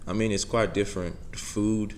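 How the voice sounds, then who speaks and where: A young man with a Nigerian accent answering press conference questions in a soft-spoken way, filling pauses with "you know". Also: fairly low pitch, steady tone, slight background noise. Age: young adult. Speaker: college football player.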